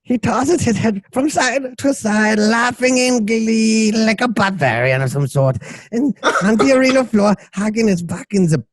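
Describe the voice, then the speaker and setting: A man narrates an amateur radio play with theatrical, playful drama. His voice is husky and animated, swinging widely in pitch, and the recording is close and dry. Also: measured pace, dry recording. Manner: dramatic, playful, acts out shouts and crowd. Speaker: narrator reading stage directions.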